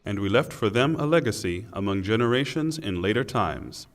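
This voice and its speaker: A man reading a scripture translation in a solemn, formal, deliberate way, with pauses. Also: on the low side, expressive. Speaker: translation reader. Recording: long hall reverb.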